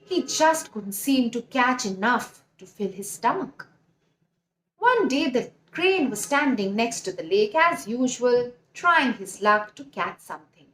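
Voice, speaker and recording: A woman telling a story to young children in an expressive, dramatic manner, posing questions to the listener. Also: high-pitched, roomy sound, slight background noise, low-quality recording.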